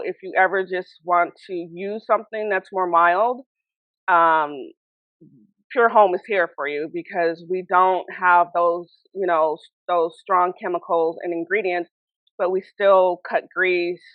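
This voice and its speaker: A woman's voice: fairly low pitch, no room sound, phone-quality sound. A woman speaking as a guest on a podcast, a brand founder chatting casually with frequent fillers, restarts and laughs.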